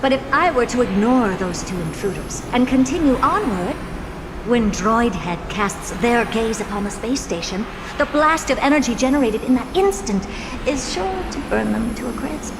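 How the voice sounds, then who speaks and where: A woman's voice: a little high, animated, moderate pace, background noise. A woman playing a haughty, irritable genius scientist in a video game, speaking in an imperious, dramatic manner. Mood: grudgingly resolved.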